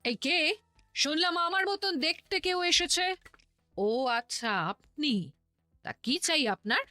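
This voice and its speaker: An imperious queen in a cartoon. Her voice is high and bright, swinging widely as she orders and complains. It is dramatic and emphatic, recorded dry. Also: expressive, a little slow, no echo, soft background music, full character voice. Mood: indignant. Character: imperious, demanding young queen, quick to complain. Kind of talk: animated cartoon voice acting.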